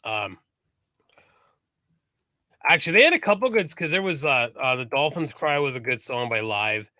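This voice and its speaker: A man's voice: mid-range voice, animated, moderate pace, sharp tone, dry recording. A man co-hosting a casual live-streamed podcast, chatty and enthusiastic about music, swearing casually. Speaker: podcast co-host.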